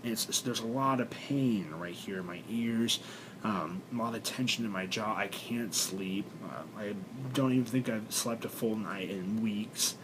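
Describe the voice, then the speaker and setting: A young man with a congested, stuffed-up voice, talking haltingly in a personal video diary with many fillers and restarts. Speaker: vlogger. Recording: noisy recording with low rumble.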